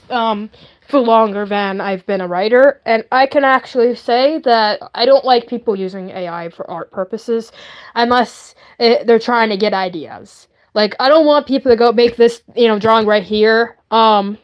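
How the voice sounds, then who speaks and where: Higher-pitched woman chatting on a livestream. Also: dull audio. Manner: rambling, earnest, emphatic, often repeating herself. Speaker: livestream host. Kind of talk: casual livestream chat.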